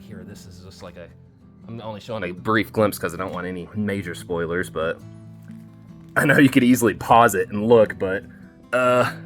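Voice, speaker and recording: A man talking in a casual, rambling vlog style to his listeners, informal and halting as he thinks aloud. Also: low-pitched. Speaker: storytelling channel creator and host. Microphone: phone video recording.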